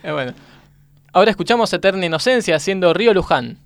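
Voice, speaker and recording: A man's voice: sits high, lively intonation, fast, gravelly, slight background noise. A man with an Argentine accent co-hosting a comedy radio show, bantering casually and announcing segments.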